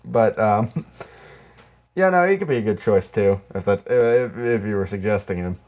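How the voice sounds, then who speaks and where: Male podcast co-host, lower register, loose conversational movie banter with a joking, riffing streak. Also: expressive, measured pace, darkish tone. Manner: joking, riffing with mock quotes. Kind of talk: casual movie chat.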